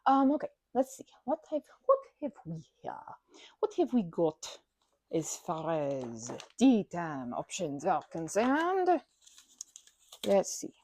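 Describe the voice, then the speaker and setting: A woman's voice: on the low side, huge pitch swings, takes it slowly, a little mellow, no room sound. A woman performing a casual best-friend ASMR roleplay, chatting in a playful, scatterbrained way and rambling as she goes.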